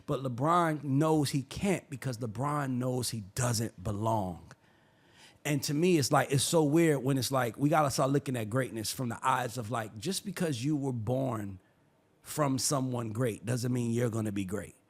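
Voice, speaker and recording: A man hosting a sports opinion show, arguing his case in a heated, preachy way and sometimes building to shouts. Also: medium pitch, normal speed, breathy edge. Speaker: show host.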